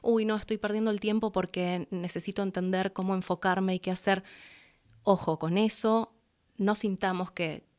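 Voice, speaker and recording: Expressive Argentine woman chatting. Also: mid-range voice, normal speed, clean tone. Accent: Argentine Rioplatense. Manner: expressive, chatty, acts out her own past thoughts. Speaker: interview guest, voice actress and announcer. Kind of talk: casual conversational storytelling.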